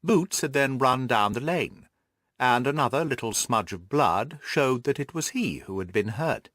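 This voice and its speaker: A man reading the part of a shrewd, self-assured gentleman detective, precise and methodical, in an audiobook. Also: medium pitch, expressive, measured pace, no echo, clean studio sound.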